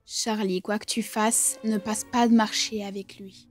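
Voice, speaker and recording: A woman's voice: medium pitch, level tone, measured pace, soft background music, close to an everyday voice. A woman voicing a stern, protective young woman who scolds and warns.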